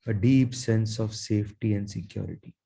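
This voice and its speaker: A man leading a guided meditation in a soothing, gentle manner, leaving long pauses between his phrases. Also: fairly low pitch, steady tone, creaky, husky. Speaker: meditation guide, Reiki teacher.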